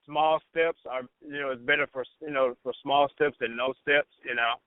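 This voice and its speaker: A man's voice: medium pitch, fairly even intonation, normal speed, no room sound, narrow, tinny audio. A man talking casually as an interview guest, informal and repetitive, often saying "you know" and "man". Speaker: radio personality, interview guest. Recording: band-limited radio phone-in sound.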